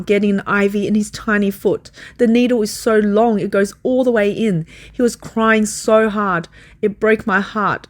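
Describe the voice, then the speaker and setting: A woman reading translated first-person testimony as a scripted voice-over. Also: medium pitch.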